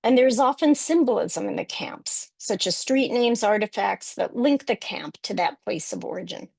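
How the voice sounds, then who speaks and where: A woman from Chicago, presenting at a webinar in a measured, reflective way, with frequent pauses and fillers. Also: medium pitch, animated, gravelly, darkish tone, breathy edge, no echo. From Chicago, United States. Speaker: academic panelist.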